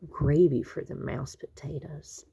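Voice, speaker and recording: A woman's voice: low voice, fairly even intonation, a little slow, gravelly, warm tone, breathy edge, heavily acted. A woman voicing a small, eager, greedy male mouse from a storybook, with a gleeful, self-satisfied manner.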